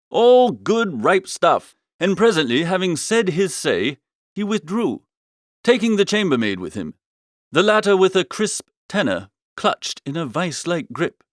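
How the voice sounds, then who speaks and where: Lively, high-pitched male comic narrator. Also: dry recording, studio quality. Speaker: audiobook narrator. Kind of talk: comic first-person scripted narration.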